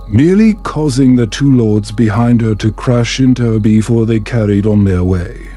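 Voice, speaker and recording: A man narrating a fantasy bedtime story in a calm, soothing, measured way. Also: mid-range voice. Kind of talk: calm scripted fantasy audiobook narration for sleep.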